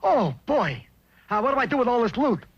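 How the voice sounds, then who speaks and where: A man voicing a young ugly duckling in an old cartoon, a wisecracking, slangy complainer with a creaky, sharp voice. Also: very high-pitched, very animated, fairly quick, muffled recording, heavily acted. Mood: hesitant. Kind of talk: 1960s cartoon voice acting. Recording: noisy old TV cartoon soundtrack.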